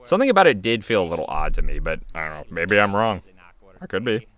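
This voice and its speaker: A man's voice: deep voice, wildly swooping pitch, fast, a bit bright. A man giving casual, off-the-cuff commentary as a video creator, chatty and rambling.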